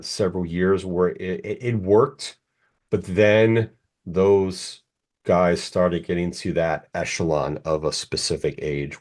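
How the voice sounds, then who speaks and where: Guy in his 40s, deep voice, rambling casual podcast talk, kinda opinionated. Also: darkish tone. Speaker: podcast co-host. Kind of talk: casual chat.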